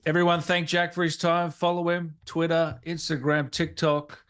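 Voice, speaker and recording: A man's voice: a little high, little pitch movement, normal speed, fairly bright tone, smooth. A man hosting an interview in a casual, chatty style. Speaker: interviewer, host. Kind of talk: casual chat.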